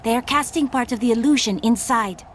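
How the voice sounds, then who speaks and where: A woman playing a calm mystical enchantress. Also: on the high side, slight background noise, natural voice. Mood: composed. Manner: measured, formal and foreboding. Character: mystical young female enchantress, calm and wise. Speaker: companion enchantress. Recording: game audio with loud music.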